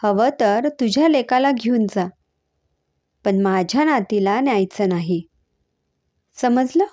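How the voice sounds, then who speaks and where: A woman's voice: fairly high pitch, expressive, slow, mellow. A woman voicing a stern, sarcastic old grandmother who scolds and commands in a family story. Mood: angry, sarcastic.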